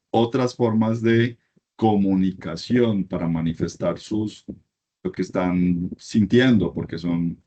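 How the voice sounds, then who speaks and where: A man's voice: low-pitched, darkish tone, highs cut off. A middle-aged man from Colombia giving a university class lecture, emphatic and repeating his points in lists.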